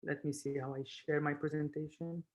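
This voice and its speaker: English-speaking man from Spain, explaining haltingly. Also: on the high side, little pitch movement. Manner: halting non-native English, repeating words. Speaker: surgeon lecturer, webinar speaker. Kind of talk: informal expert talk on a video webinar. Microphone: video call.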